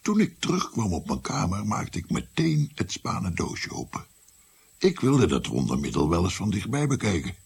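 An old grandfather in a radio drama, looking back on a dark past. His voice is low, gravelly and breathy, swinging widely in pitch. He speaks in a halting, musing way and often sinks toward a whisper. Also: huge pitch swings, exaggerated voice. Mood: hushed, musing. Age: older.

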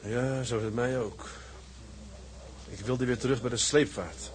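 An actor playing a sturdy, ambitious first mate on a sea tug in a Dutch radio drama, hearty and conversational. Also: male voice, medium pitch, steady tone, a little slow, lightly acted. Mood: bitter, brooding.

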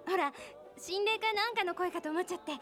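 A woman's voice: very high-pitched, a little fast, fairly bright tone, faint music behind. An actress playing a flighty, nosy young woman in a radio drama, talking in a casual, girlish, chatty and pleading way. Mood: excited gossip. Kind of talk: radio drama acting, casual conversational Japanese.

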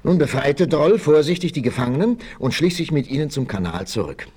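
A man's voice: on the high side, lively intonation, brisk, darkish tone, slightly rough. A man narrating a 1960s adventure audio play in a dramatic, suspenseful, emphatic scripted style. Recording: noisy 1968 vinyl transfer.

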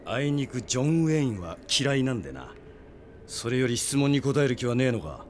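An actor playing a brash, hot-headed, proud swordsman in an anime audio drama, with rough, defiant speech that bursts into shouts. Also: medium pitch, big pitch swings, fairly quick, slight background noise, close to an everyday voice.

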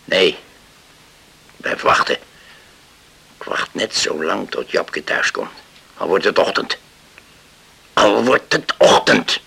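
Stern, gravelly-voiced stubborn father. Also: deep voice, sharp tone, slight background noise, heavily acted. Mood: curt, stubborn. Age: middle-aged. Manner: curt, deliberate, unyielding. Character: stern, stubborn island father guarding his daughter. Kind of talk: radio play acting.

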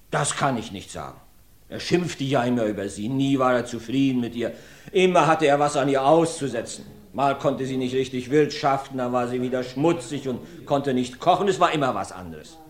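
A man's voice: mid-range voice, close to an everyday voice. An actor playing a talkative rustic farmer who rambles through his testimony in a radio play. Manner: folksy, rambling storyteller. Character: rustic male farmer, chatty court witness. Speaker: witness in a courtroom. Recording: roomy studio.

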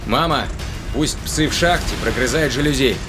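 A hot-headed starship captain played by a man in a sci-fi audio drama. He is commanding and impatient, with a mid-pitched voice over prominent music. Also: normal speed, close to an everyday voice. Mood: urgent. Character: aggressive, hot-headed male spaceship captain on the hunt. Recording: loud music and effects behind voice.

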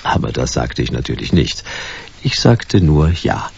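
Gritty noir detective, stubborn and terse, really low voice, dull radio play sound with music. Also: male voice, very animated, a little slow, creaky, darkish tone, slight background noise, exaggerated voice. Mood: laconic, brooding. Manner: laconic, dry, clipped sentences. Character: hard-boiled, stubborn male private detective narrating his case.

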